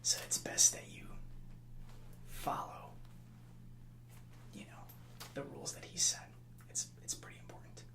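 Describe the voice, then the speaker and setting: A man playing a nice, easily hurt assistant to a gang leader in an ASMR roleplay, speaking softly with hesitant, placating stammers. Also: a little high, echoey room.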